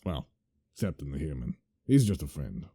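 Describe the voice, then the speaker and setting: A man voicing a blunt, exasperated dwarf who speaks slowly and bluntly. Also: very deep voice, big pitch swings, warm tone, slightly rough, pitched below the performer's own voice, full character voice. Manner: blunt and exasperated, drawn-out delivery.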